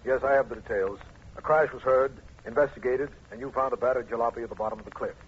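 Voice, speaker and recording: A man's voice: medium pitch, steady tone, natural voice. Man acting serious official DA guy from old radio show, mid voice, formal, muffled and hissy recording. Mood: businesslike. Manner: formal, measured. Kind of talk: 1950s radio drama acting and narration.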